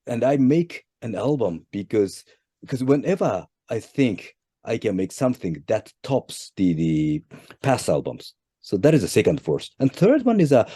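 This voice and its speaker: A Japanese man with an accent, answering interview questions in halting English, repeating words as he goes. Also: dark tone, husky, no echo, studio quality. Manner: halting, repeats words, pitch jumps up at each new point. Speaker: band leader, interview guest.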